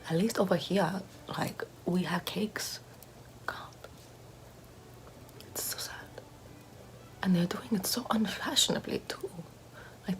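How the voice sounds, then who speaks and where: A woman's voice: medium pitch, darkish tone, a little hiss or hum, natural voice, poor audio. A woman whispering as a vain, pompous aristocratic lady doing makeup in an ASMR roleplay, in a haughty, self-admiring, gossipy way.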